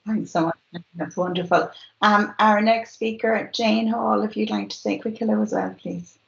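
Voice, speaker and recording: A woman's voice: medium pitch, fairly even intonation, normal speed, vocal fry. A woman hosting an online event, chatty and friendly, with many fillers. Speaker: event organiser and host. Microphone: video call. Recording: echoey, lo-fi video call audio.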